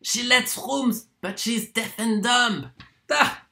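An actor performs a proud itinerant torturer in a stage monologue. The delivery is unhurried and deliberate, full of weighted pauses. The mid-pitched voice swings widely up and down in a theatrical way. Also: big pitch swings, fairly bright tone. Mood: sudden realisation, exclaiming. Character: proud wandering torturer from Poland, wheedling then contemptuous.

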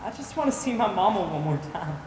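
A young man acting in a stage play as a dying, confused patient, tender and frightened, speaking haltingly. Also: high-pitched, big pitch swings. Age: young adult. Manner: halting, repeats words. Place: on a theater stage, distant camera. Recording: echoey theater hall from far off, noisy.

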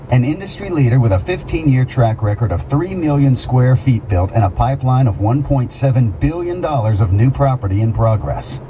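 A man narrating a scripted radio advertisement as a commercial announcer. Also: medium pitch. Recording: noisy car radio pickup.

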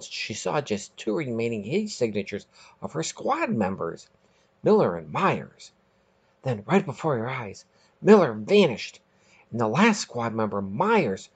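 Animated male story narrator, husky voice. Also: medium pitch. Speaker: podcast host and narrator. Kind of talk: scripted narration.

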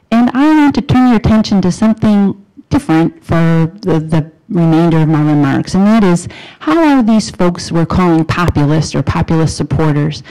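A woman's voice: fairly low pitch, warm tone, rough recording. Earnest Wisconsin woman lecturing. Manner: earnest, emphatic rising questions, pauses to rephrase. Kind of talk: academic talk to an audience. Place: lecture room in front of a projection screen. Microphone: handheld microphone.

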